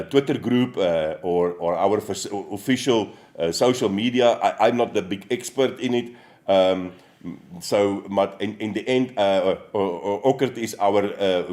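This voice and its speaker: A company executive speaking on a livestream, halting and full of fillers. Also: male voice, lowish voice, darkish tone. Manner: halting, many fillers and restarts. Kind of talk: casual livestream talk.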